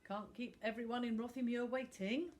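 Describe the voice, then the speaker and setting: A woman's voice: fairly high pitch, fairly even intonation, normal speed, a little mellow, voice pushed up, natural voice. A woman voicing an impatient man who grumbles that time is running out, in a storybook.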